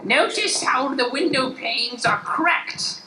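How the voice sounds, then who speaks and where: Woman doing a very high, mysterious old woman. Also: lively intonation, takes it slowly, over music. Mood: mysterious, knowing. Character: mysterious, knowing old woman, former groundskeeper. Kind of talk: improvised radio play acting. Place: theater stage before an audience. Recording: echoey stage.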